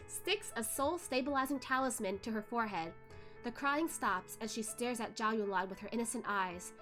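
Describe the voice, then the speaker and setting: A high-pitched female narrator reads a novel aloud with dramatic, careful expression. Faint music sits underneath her storytelling. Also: fairly bright tone. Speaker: web novel read-along host.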